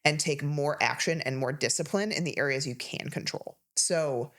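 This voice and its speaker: A woman in her thirties co-hosting a health podcast, talking casually and listing symptoms rapidly with emphasis. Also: low-pitched, fairly bright tone. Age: 30s. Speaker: podcast co-host, health coach.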